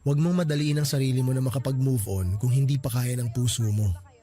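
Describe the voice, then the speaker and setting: A man playing a gentle, reassuring male friend. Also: medium pitch, quick pace, close to an everyday voice. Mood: comforting. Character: supportive joking male friend of the grieving man. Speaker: close friend. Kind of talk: radio drama acting. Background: soft music.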